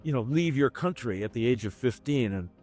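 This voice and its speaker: A man hosting an interview podcast, asking questions in a measured way and searching for his words. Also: medium pitch, expressive, darkish tone, soft background music. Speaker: podcast host.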